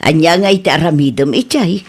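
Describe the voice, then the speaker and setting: A woman's voice: low-pitched, fairly quick, slightly rough. A woman playing an inquisitive, concerned older mother in a comedy radio drama. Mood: questioning, surprised.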